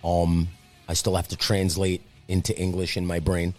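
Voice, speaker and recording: A man's voice: sits low, monotone, moderate pace, a little mellow, faint music behind. A man raised speaking Italian, chatting casually in a loose, profane, rambling way. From Brazil. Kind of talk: casual chat.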